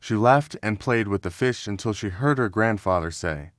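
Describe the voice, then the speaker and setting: A man with a low voice reading a children's story aloud in a steady, clear manner. The recording is close and dry. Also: moderate pace, no echo. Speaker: read-along narrator.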